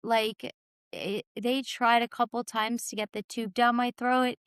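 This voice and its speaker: A woman with a raspy voice, telling her own story candidly and informally as a podcast guest. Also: fairly high pitch, fairly even intonation, unhurried, dry recording, studio quality. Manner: informal, peppered with "like" and "you know".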